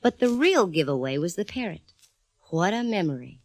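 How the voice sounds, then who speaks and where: A woman's voice: lowish voice, big pitch swings, moderate pace, no room sound. An actress playing a sassy woman private eye in a 1940s radio drama, breezy and wisecracking. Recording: old radio broadcast with faint organ music.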